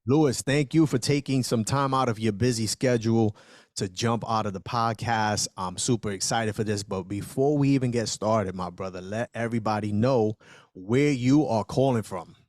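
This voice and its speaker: A man's voice: on the low side, normal speed, a little mellow, dry recording. Upbeat male podcast host, dry sound. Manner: enthusiastic, repeats "outstanding".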